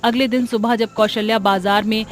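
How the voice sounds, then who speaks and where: A woman's voice: a little high, steady tone, moderate pace, faint music behind. A woman giving scripted narration for a cartoon story.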